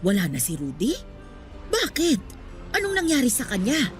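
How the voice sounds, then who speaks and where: An elderly female street vendor in a radio drama, bossy and motherly. Her high voice swings lively as she nags and insists, over faint music. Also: moderate pace. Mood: concerned, insistent. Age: older. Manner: nagging, scolding, familiar.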